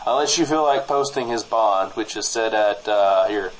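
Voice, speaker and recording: A man playing a wry small-town nephew in improvised comedy banter, speaking hesitantly with dry, deadpan wit. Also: lowish voice, normal speed, slight background noise, close to an everyday voice, poor audio. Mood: wry, exasperated. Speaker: podcast co-host playing a character.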